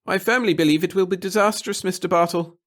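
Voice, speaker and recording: A man's voice: high-pitched, steady tone, quick pace, voice pushed up, natural voice. A man voicing a small, nervous, fussy bureaucrat in an audiobook, speaking in formal, wordy, obsequious sentences. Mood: alarmed, confiding. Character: little wizened fussy nervous male bureaucrat with hidden cunning. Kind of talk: audiobook character acting.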